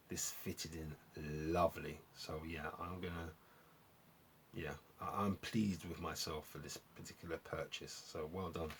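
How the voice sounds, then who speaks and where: A man hosting a vlog, chatting casually and appreciatively in a rambling, gentlemanly way as they review records. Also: low voice, slight background noise. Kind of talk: casual album review chat to viewers.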